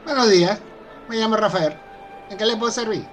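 A man's voice: high voice, brisk, a bit bright, faint music behind. A man playing a polite, obliging barman in a radio drama. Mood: polite, eager.

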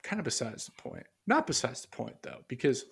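A man's voice: mid-range voice, huge pitch swings, brisk, creaky, a bit bright, slightly rough. A man co-hosting a casual podcast, rambling and hesitant, full of fillers and restarts as he reads aloud from his notes. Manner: muses aloud while reading from notes. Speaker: podcast co-host.

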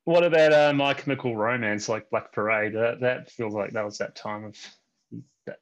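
Man, medium pitch, casual chat, brief easygoing replies. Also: steady tone, normal speed, dull audio. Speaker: podcast co-host and quiz contestant.

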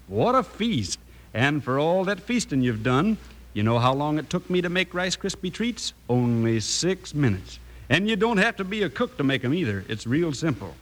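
Animated folksy American cowboy pitchman. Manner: folksy, enthusiastic. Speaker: radio pitchman. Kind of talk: 1950s cowboy-style radio advertising.

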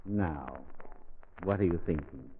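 An actor in a 1940s radio comedy playing an absent-minded, probing doctor who repeats himself soothingly. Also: male voice, low voice, slow, slight background noise. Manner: hesitant, repetitive, professionally soothing. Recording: dull, lo-fi 1940s radio recording.

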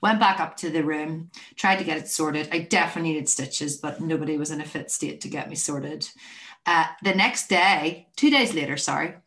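A woman with a deep voice hosting a podcast, chatting casually and telling rambling stories that keep interrupting themselves. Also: normal speed, a bit bright. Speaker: podcast host. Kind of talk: casual chat.

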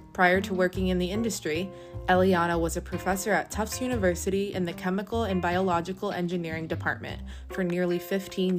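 Lower-pitched woman over guitar music. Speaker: podcast co-host. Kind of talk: scripted introduction.

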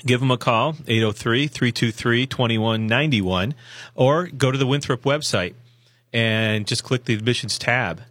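Hoarse man, college radio co-host, rambling folksy delivery, dry studio mic, mid-pitched voice. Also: moderate pace, fairly bright tone, dry recording. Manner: rambling, self-correcting, folksy advice. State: hoarse from a sinus infection. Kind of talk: casual radio promo talk.

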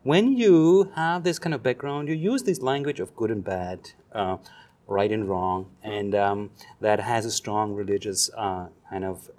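A man's voice: on the low side, a little mellow. German-accented man, reflective and hesitant. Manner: reflective, pausing with many ums and uhs. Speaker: guest, psychology professor. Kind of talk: thoughtful interview answers.

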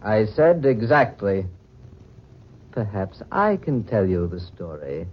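Slow, suave, sinister mystery man. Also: on the low side, sing-song, breathy edge, exaggerated voice. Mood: quietly ominous. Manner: formal, deliberate, theatrical. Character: mysterious, suave, sinister masked man telling his tale. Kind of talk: 1940s radio acting. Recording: dull old 1940s radio recording.

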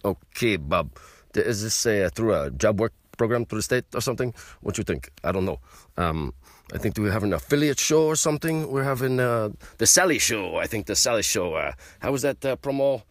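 A man's voice: lowish voice, expressive, no echo. A man puts on a rambling mock-host who talks. He stumbles along with plenty of fillers, and the sound is dry and close. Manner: rambling, halting, many fillers. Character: mock Russian man hosting a comedy show. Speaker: comedy show host. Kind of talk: improvised comedy monologue.

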